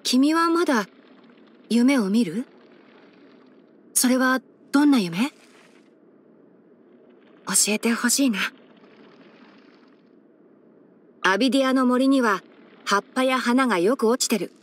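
A young male forest ranger chief with fox ears for an anime-style game. The voice is fairly high, light and boyish. He speaks in a measured, articulate way, clever and gentle with a soft wryness. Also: lively intonation. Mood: reflective, gentle. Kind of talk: Japanese game character voice-over.

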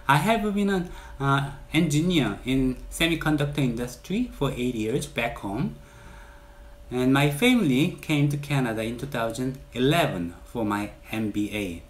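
A man in his forties with a Korean accent speaks straight to camera, earnest and measured. His pitch sits in an ordinary middle register, and he pushes up on the words he wants to stress. The sound is clean, recorded indoors in a bright room. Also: lively intonation. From South Korea. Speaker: realtor. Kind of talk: direct-to-camera channel introduction.

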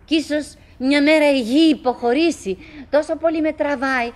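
An actress playing a chatty middle-aged wife in a theatrical radio drama monologue, halting and musing, by turns coaxing and murmuring, wistful, fretful and forcedly cheerful. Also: female voice, high voice, a little mellow, natural voice. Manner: halting, musing, self-interrupting, swinging between coaxing and murmuring.

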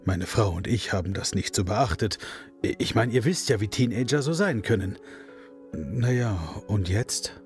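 A low-voiced, hesitant father with music underneath, audio drama. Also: animated, measured pace, darkish tone. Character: grieving middle-aged father of a missing girl.

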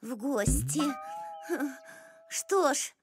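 A woman voicing a dreamy, polite young zebra girl who likes quiet, in a children's cartoon. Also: sits high, animated, exaggerated voice. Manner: polite, measured, sighing. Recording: studio voice with music behind.

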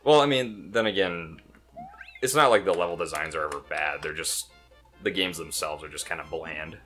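A man's voice: sits low, normal speed, a bit bright, faint music behind. A young man in casual, profane banter as he plays and hosts a gaming video.

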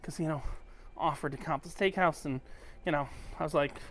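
Tipsy male cruise vlogger, fairly high-pitched, blunt and profane, walking and talking to a handheld camera. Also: fairly quick. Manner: blunt, swearing, ranting, drops to a mutter at times. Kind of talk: casual walk-and-talk vlog. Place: aboard a cruise ship, indoors.

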